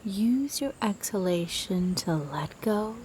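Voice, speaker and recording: Slow, breathy half-whispering woman. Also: medium pitch, lively intonation, slight background noise. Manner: soothing, gentle, unhurried. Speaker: meditation guide. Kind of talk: guided sleep meditation narration.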